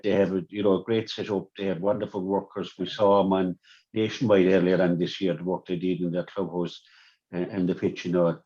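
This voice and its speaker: A man's voice: low voice, dark tone. A man with an Irish accent giving sports analysis on the radio, repeating words and saying "you know" often. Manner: repetitive, many "you know" fillers. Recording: dull lo-fi sound.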